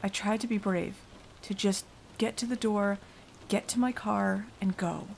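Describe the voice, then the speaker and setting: A woman's voice: medium pitch, steady tone, creaky, slight background noise. A woman playing a frightened, self-sacrificing young victim, telling of a vampire attack as dramatic ASMR roleplay. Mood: fearful, haunted. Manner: hushed, dramatic, deliberate storytelling.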